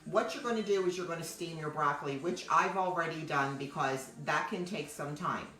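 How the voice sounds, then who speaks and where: Woman, middle-aged, deep loud voice, chatty rambling cooking host, echoey kitchen. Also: moderate pace, far from the mic. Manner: chatty, rambling, thanks viewers often. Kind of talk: live-streamed cooking demo chat. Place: home kitchen.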